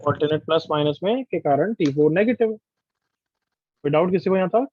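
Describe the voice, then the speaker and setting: A man teaching an online class, drilling his students with quick questions. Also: on the high side, expressive, lo-fi sound. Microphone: video call.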